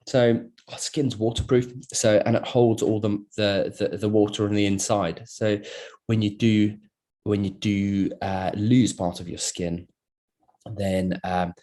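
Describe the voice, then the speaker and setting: A middle-aged man from South Africa, a plastic surgeon giving a relaxed online lecture over a video call, with many fillers and restarts. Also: on the low side. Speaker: plastic surgeon presenting a webinar. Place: at work, speaking into a computer.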